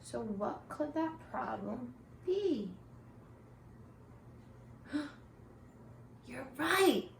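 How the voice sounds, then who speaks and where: A woman reading a picture book aloud like a teacher, expressive and encouraging, putting questions to her young listeners. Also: high voice, extremely slow, gravelly, pauses often, slight background noise. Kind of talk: animated children's read-aloud with questions to listeners. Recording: echoey, roomy sound.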